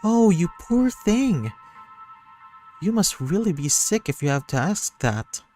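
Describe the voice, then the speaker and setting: A man voicing a sweet, anxious young woman who fusses tenderly in a breathy voice, in a visual novel. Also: sits high, sing-song, slow pace, darkish tone, soft background music, pitched above the performer's own voice, full character voice. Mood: concerned, fussing. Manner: breathy, fussing, tenderly concerned. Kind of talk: visual novel character acting.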